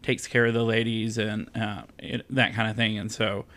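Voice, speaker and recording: A man's voice: lowish voice, level tone, moderate pace, pushed and bright. A man from the American South, a podcast guest talking candidly and with self-deprecating humor, using many fillers. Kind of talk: casual interview chat.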